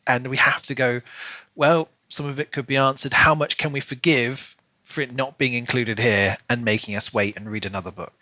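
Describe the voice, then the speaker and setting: A man's voice: medium pitch, steady tone, moderate pace, pushed and bright, no room sound, rough recording. A man co-hosting a podcast, chatting casually and giving his opinions about books, sometimes acting out imagined quotes. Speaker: podcast co-host. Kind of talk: casual book discussion chat.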